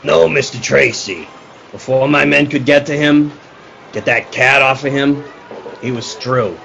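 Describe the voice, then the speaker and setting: Man playing a gravelly, world-weary circus showman. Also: medium pitch, unhurried, bright, forceful tone, slight background noise, low-quality recording. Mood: matter-of-fact. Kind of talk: radio play acting.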